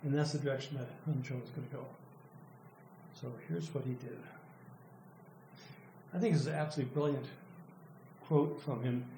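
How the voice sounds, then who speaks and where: Hoarse older man lecturing, mellow voice. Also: medium pitch. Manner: thoughtful, halting, with frequent restarts and fillers. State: losing his voice, clearing throat. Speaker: professor presenting a student's work. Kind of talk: academic lecture. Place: lecture room. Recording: echoey room with faint noise.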